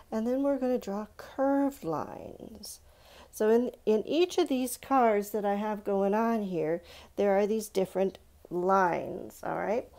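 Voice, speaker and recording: Female children's art instructor, medium pitch, encouraging, speaks straight to young viewers through each step. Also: mellow. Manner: asks simple questions, encouraging, addresses young viewers directly. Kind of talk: step-by-step drawing lesson for children. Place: indoors at a stone countertop.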